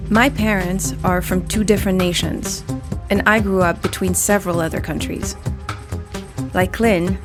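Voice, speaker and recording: Documentary host, female, mid pitch, measured narration over a strong music bed. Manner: measured, reflective, posing questions. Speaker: journalist and podcast host.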